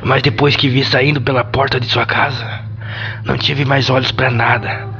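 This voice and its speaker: A man's voice: medium pitch, flat delivery, moderate pace, pushed and bright, slight background noise. A man acting an earnest, formal, gushing suitor in a melodramatic radio soap. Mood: lovestruck. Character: Ricardo Antônio, earnest old-fashioned smitten suitor. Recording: dull lo-fi audio under music.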